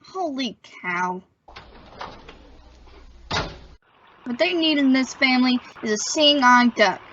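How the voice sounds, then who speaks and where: A slightly noisy, muffled recording of a radio comedy's teenage son played by a woman. He has a high, sharp voice and sounds put-upon, plaintive and cheeky. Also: expressive, moderate pace. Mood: grumbling. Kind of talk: old-time radio sitcom acting. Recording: muffled recording with faint vehicle noise.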